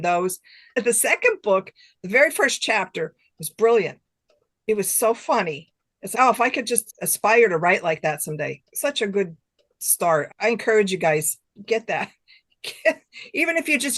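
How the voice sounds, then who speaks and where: A woman's voice: mid-range voice, a bit bright. A woman author chatting casually as an interview guest, enthusiastic and repeating phrases for emphasis. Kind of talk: casual chat.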